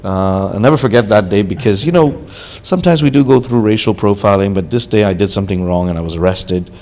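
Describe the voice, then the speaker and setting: A young man from Hong Kong with a low-set voice, speaking earnestly and candidly as a podcast guest. He tells his own story in a loose, conversational way, often filling pauses with "you know". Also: darkish tone. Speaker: podcast guest, social worker.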